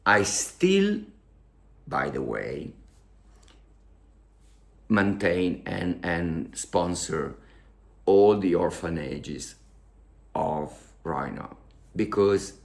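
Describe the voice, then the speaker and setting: Man, italian accent, low voice, very slow, halting english, emotional storytelling vlogger. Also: big pitch swings, gravelly. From Italy. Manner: emotional, repeats words, halting English with grammar slips. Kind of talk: casual storytelling to camera.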